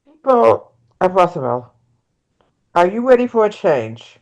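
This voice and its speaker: A woman's voice: lowish voice, big pitch swings, unhurried, gravelly, a little mellow, muffled recording, exaggerated voice. Woman reading as progressive female presidential candidate. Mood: rallying. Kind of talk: amateur table read of a play.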